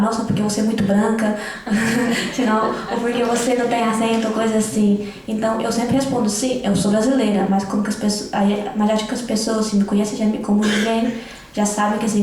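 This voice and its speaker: Fast-talking teenage girl, echoey hall. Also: on the high side. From Brazil. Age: teens. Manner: animated, acts out quoted remarks. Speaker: panel speaker. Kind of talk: casual panel talk.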